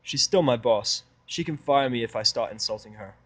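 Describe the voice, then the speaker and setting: A man's voice: medium pitch, moderate pace, a bit bright. A man narrating a first-person story in a dramatized, sardonic, grumbling manner. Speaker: fan fiction reader and narrator.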